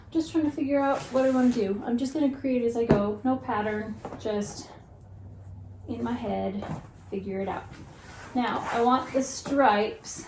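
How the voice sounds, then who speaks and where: A woman's voice: fairly high pitch, warm tone, reverberant, a little hiss or hum. A middle-aged woman chatting casually in a vlog, thinking aloud in an unscripted way. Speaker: vlogger showing family outings and crafts. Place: car, store, home kitchen and craft room.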